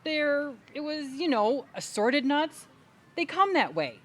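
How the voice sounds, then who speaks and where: An actress playing a patient, puzzled older sister in a comedic audio play, questioning with emphatic disbelief. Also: female voice, high voice, sing-song, slow, a bit bright, full character voice. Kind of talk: comedic audio theater dialogue.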